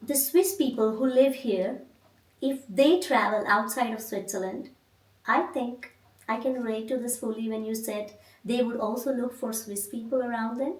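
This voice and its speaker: A woman from India hosting an interview, asking measured, reflective questions. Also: a little high. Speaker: podcast host. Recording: echoey room, mic at a distance.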